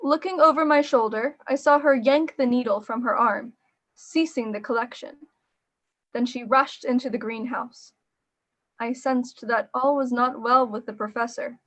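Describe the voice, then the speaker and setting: A woman's voice: on the high side, steady tone, normal speed, a bit bright. A woman playing a worried, devoted assistant who narrates a gothic radio play in an earnest, literary storytelling manner. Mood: uneasy. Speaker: voice actor and narrator.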